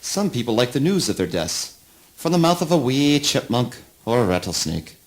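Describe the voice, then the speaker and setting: A man playing an enigmatic, fatherly oracle in a spoken word play, deliberate and probing. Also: mid-range voice, sing-song, lightly acted. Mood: cryptic, assured. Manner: cryptic, deliberate questioning.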